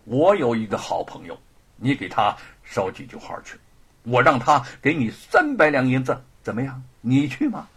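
A man performs a condemned scholar from a storybook, proud and defiant. His voice is very husky and swings widely in pitch. He speaks with haughty, deliberate emphasis. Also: very animated, heavily acted. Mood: sly.

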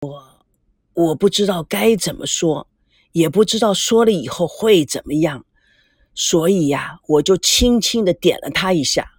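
This is a gentle old grandfather in a storybook, slow and soft, pausing as he speaks, voiced by a woman narrator. The voice sits lower than her own, with a husky edge. It is a dry, close recording. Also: lively intonation, no room sound, exaggerated voice. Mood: hesitant. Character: gentle old grandfather revealing a family secret. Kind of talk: audiobook character voice.

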